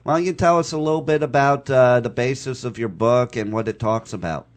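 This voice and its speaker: A man's voice: mid-range voice, brisk. Man hosting a loose, chatty radio show. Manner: loose and upbeat, many uh fillers. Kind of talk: casual radio talk.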